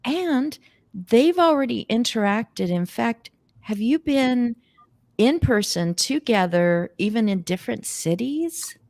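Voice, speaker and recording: A woman's voice: on the high side, lively intonation, slow, a little mellow, dry recording. A woman hosting an unscripted group chat on a video call in a playful, exclamatory manner. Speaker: host.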